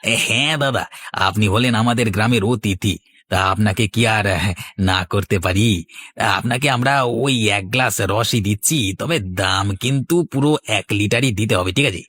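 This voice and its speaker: Low-voiced, crafty village swindler played by a man. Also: moderate pace, a bit bright, slightly rough, no room sound. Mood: oily, persuasive. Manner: gloating, scolding, oily. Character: crafty, boastful male village juice swindler, bossy ringleader. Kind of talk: cartoon voice acting.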